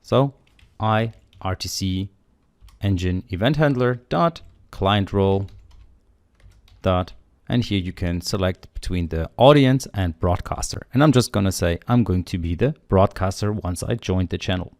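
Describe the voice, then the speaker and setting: A man walks through a programming tutorial, explaining each step in a steady, matter-of-fact way as he types. His voice sits on the low side and is recorded close and dry, with almost no room sound. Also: measured pace, dry recording. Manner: steady explanatory, thinking aloud while typing. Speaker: coding tutorial instructor. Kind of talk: screen-recorded coding walkthrough.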